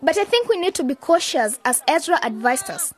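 A woman acting a bossy, focused young aspiring journalist in a radio drama, brisk and scolding. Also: sits high, sing-song, a faint crowd. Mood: bossy, decisive.

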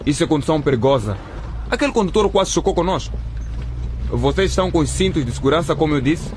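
A man plays a responsible, good-natured trucker in a radio drama. His voice sits a bit high and moves expressively as he explains and cautions, with clear vehicle noise behind. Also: lively intonation, quick pace, close to an everyday voice. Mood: alarmed, cautioning. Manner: admonishing, explanatory. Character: responsible, good-natured truck driver minding young boys.

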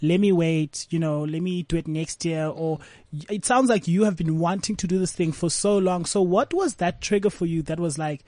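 High-pitched man hosting, dry close sound, upbeat and coaxing. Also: moderate pace, a little mellow, no echo. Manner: hyped, encouraging questioner. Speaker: host. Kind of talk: casual interview.

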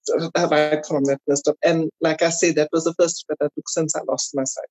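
A South African woman chatting casually as an interview guest, telling personal stories in an animated way. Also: deep voice, a little fast, husky, dry recording, poor audio. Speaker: podcast guest.

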